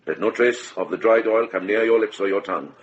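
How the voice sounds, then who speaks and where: An actor playing a shrewd, compassionate middle-aged monk in a radio drama, speaking with grave, measured deliberation. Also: male voice, lowish voice, muffled recording, slight background noise, lightly acted. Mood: grave, accusing.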